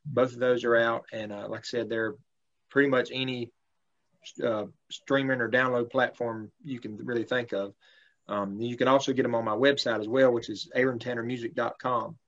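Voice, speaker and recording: A man, speaking as a guest in a relaxed, polite manner. He leans on lots of ums and uhs and keeps a medium pitch. The sound is a bit dull and lo-fi. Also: moderate pace. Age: 30s. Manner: relaxed, polite, many fillers. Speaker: country singer guest. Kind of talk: casual interview chat.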